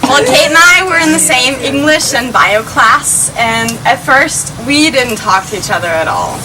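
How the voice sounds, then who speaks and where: Giggly Austrian woman, high voice, distant. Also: animated, normal speed. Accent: Austrian German. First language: German. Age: late 20s. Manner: giggly, chatty, laughs between lines. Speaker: maid of honor. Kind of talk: wedding toast. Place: wedding reception. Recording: distant mic in a noisy crowd.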